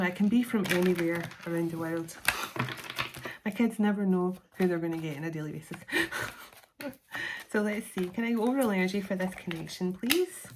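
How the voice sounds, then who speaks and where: A woman's voice: lowish voice, slight background noise. A middle-aged woman doing a solo tarot reading to camera, chatty and self-deprecating, laughing often between cards. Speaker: tarot reader.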